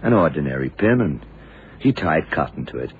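An actor playing a shrewd, hard-boiled male detective in a 1970s radio drama, speaking deliberately in clipped sentences. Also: extremely low pitch, sing-song. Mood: patient explaining. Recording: muffled, noisy vintage radio recording.

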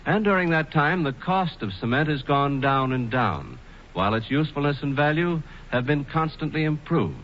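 A man reading scripted sponsor narration as a 1940s radio spokesman, with measured, emphatic phrasing. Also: a little high, very animated. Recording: muffled, noisy 1940s radio broadcast.

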